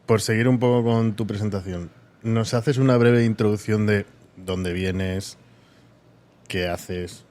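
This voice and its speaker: A man, co-hosting a podcast and calmly asking his guest to introduce herself. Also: fairly low pitch, takes it slowly. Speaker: podcast co-host. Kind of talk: interview questions.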